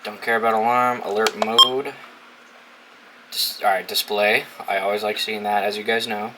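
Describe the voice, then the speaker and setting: A man's voice: fairly low pitch, flat delivery, normal speed, background noise. A young American man from New England giving casual, informal hands-on commentary, thinking aloud and swearing freely in a dorm room. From New England, United States. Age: young adult. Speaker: video host reviewing a gadget. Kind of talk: casual unboxing and setup commentary.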